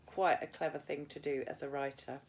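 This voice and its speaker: Woman, British accent, slightly low pitch, English teacher, reading a story aloud, informal explanatory delivery. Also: steady tone, fairly quick.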